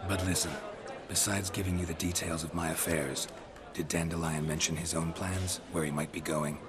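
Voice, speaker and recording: An actor playing a gruff, guarded monster hunter who talks in a terse, dry, unimpressed way. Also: male voice, sits low, steady tone, moderate pace, close to an everyday voice. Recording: loud tavern crowd behind.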